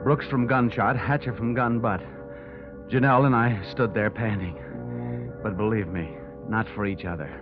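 A man's voice: lowish voice, moderate pace, lightly acted. A man playing a tough, wisecracking insurance investigator, narrating and talking in a punchy, sardonic old-time radio detective style. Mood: tense narration. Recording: dull old radio recording, loud music.

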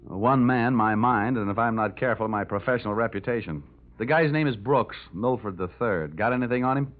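An actor playing a wisecracking, hard-boiled private investigator in an old radio drama, with a wry deadpan delivery. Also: male voice, medium pitch, fairly quick, close to an everyday voice. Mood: brisk, sardonic. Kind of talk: old-time radio detective drama, narrated and acted. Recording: muffled vintage radio recording with music.

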